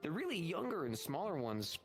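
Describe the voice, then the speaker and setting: A man's voice: on the high side, very animated, brisk. A man improvising in-character roleplay as a guild shopkeeper, explaining things at length in a wordy, salesman-like patter. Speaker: roleplayer running a guild shop in a social VR roleplay. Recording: music playing behind the voice. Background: music.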